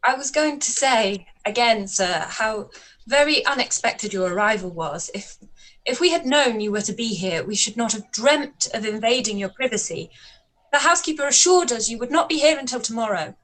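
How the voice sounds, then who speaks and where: A young gentlewoman with a fairly high voice, polite yet flustered, as a woman acts her in a live-read radio play. She halts and repeats herself as she speaks. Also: animated, low-quality recording. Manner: polite, halting, stumbling over words. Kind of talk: period radio play acting.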